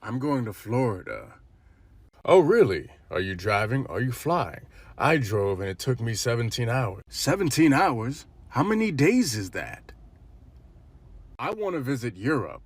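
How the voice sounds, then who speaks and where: A man's voice: medium pitch, sing-song, a little slow, slightly rough, no room sound. A man doing a comedic sketch with a sarcastic, exasperated manner. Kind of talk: comedic sketch playing both sides.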